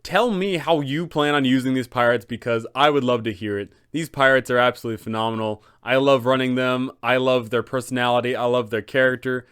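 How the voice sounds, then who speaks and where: A man's voice: medium pitch, steady tone, brisk, few pauses, a bit bright, smooth, no room sound, studio quality. A man hosting an enthusiastic explainer for tabletop game masters, with a dramatic, emphatic storytelling delivery. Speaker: host, tabletop game advisor.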